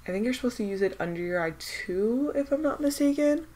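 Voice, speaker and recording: A woman's voice: fairly high pitch, expressive, a little fast, dark tone. A young woman vlogging about skincare samples, stuffed up from a cold, chatty and hesitant with lots of fillers as she thinks aloud. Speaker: beauty vlogger. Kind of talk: casual product-testing vlog.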